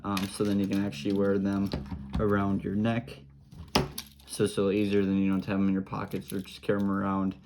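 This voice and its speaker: Low-voiced man casually demonstrating a product. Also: monotone, fast, noisy background. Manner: informal, easygoing explaining in simple steps. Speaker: product reviewer.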